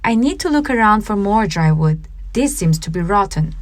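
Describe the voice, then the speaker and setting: A woman voicing a meek, gentle woodcutter father in a fairy tale, soft-spoken and compliant. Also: medium pitch, animated, normal speed, close to an everyday voice.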